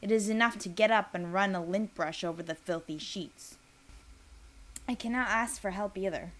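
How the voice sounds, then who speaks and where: A woman reading a first-person literary piece aloud, with expressive, deliberate delivery and dramatic emphasis. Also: mid-range voice.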